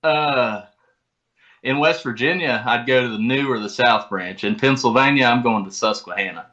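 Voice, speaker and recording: A man's voice: medium pitch, normal speed, sharp tone, lo-fi sound. A man talking casually as an interview guest, with informal, folksy phrasing. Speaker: podcast guest, tournament kayak angler.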